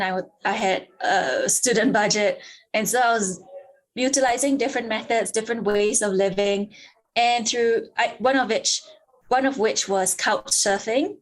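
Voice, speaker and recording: A young woman from Singapore, telling her story fluently and eagerly as an interview guest on a remote call. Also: mid-range voice. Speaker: guest, travel blogger.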